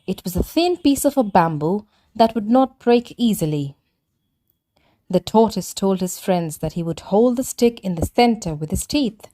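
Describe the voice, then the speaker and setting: A woman's voice: medium pitch, expressive, normal speed, mellow. Woman reading a children's story aloud.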